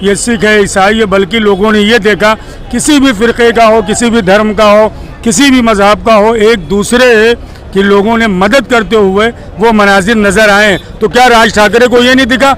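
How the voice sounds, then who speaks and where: A man's voice: high voice, steady tone, moderate pace, a bit bright, some chatter behind. A man, working as a news channel reporter and speaking formally and courteously in an outdoor interview. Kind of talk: street interview and sign-off.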